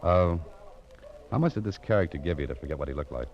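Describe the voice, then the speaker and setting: An actor playing a world-weary, wry American private detective in a deadpan radio noir style. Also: male voice, extremely low pitch, fast, a little hiss or hum. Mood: dry probing. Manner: dry, deadpan, wisecracking. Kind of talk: 1940s-50s radio noir narration and dialogue. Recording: muffled vintage radio recording.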